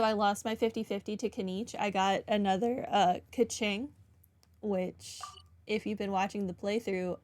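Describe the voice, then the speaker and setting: A woman's voice: medium pitch, slight background noise. A woman hosting a casual game playthrough, rambling along in a self-deprecating way. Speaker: gaming host. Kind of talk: casual playthrough commentary, reading dialogue aloud.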